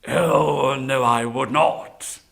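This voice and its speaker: A sly tiger from a children's folktale, acted out by a man, hungry and bullying. He stretches his words slowly as he wheedles, then turns to loud, blunt demands. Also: mid-range voice, creaky, full character voice. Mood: wheedling.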